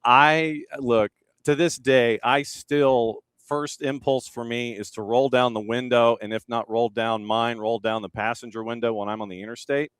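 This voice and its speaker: Radio host guy, smooth voice, super dry close sound, laid back and joking. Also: mid-range voice, normal speed, hardly any pauses, no room sound. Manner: relaxed, conversational, playful. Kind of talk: casual chat.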